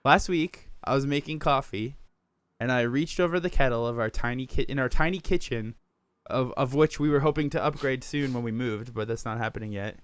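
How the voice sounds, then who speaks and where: A man co-hosting a podcast in casual chat, raspy from a sore throat, joking at his own expense and laughing often. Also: medium pitch, brisk, dry recording. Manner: self-mocking, laughs often. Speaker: podcast co-host.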